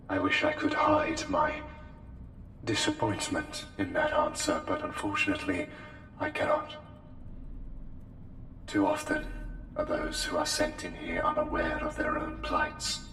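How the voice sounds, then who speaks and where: Inhuman plague doctor, low voice, sweeping intonation, courteous yet menacing, formal archaic wording, ASMR roleplay. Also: male voice, sing-song, exaggerated voice. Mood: grave, disappointed. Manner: formal, archaic, slow theatrical diction. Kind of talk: ASMR roleplay, scripted monologue. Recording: echoey hall reverb, distant, music behind. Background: music.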